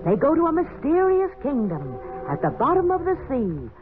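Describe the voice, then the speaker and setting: A woman's voice: high-pitched, huge pitch swings, moderate pace, phone-quality sound, noisy background. A woman storyteller narrating a scripted 1940s children's radio programme. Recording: thin 1940s radio, loud music behind.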